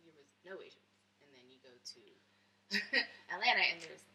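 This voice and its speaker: Interview host: female, medium pitch, crisp bright tone, conversational, recorded at some distance from the microphone. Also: moderate pace.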